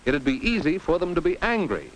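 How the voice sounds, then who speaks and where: Animated American man narrating a vintage classroom film. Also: a little high, fairly quick. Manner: didactic, posing rhetorical questions and exclaiming like a game commentator. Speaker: narrator of an educational film for teens. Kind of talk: 1950s instructional film narration, scripted. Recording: noisy old 1950s film soundtrack.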